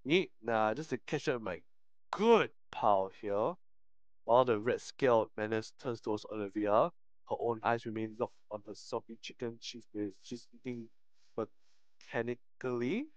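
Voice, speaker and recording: A man's voice: medium pitch, unhurried, dry recording, highs cut off. A man live-streaming a visual novel, reading it aloud with slangy commentary and frequent stumbles over the text. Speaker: game streamer.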